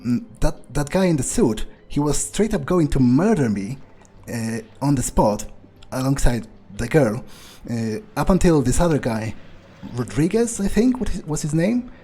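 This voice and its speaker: Husky, mellow man musing aloud. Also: mid-range voice, very animated. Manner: sweary, halting, musing aloud. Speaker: gaming video player. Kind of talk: improvised in-character game commentary.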